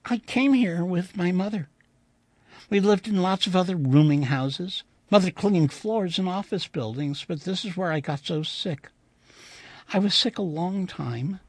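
A man voicing the timid, plaintive ghost of a little girl in a storybook reading, childlike and halting in speech. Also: sits high, dry recording, voice pushed up. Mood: plaintive, wistful. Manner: childlike, halting, stammering when upset.

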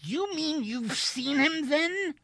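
A man's voice: very high-pitched, lively intonation, takes it slowly, bright, forceful tone, dry recording, clean studio sound, pitched above the performer's own voice, exaggerated voice. A man voicing a panicked, agitated male airplane passenger with a shaky voice, stammering and urgent as in a suspense story. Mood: shaken, stammering. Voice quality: shaking, breaking voice.

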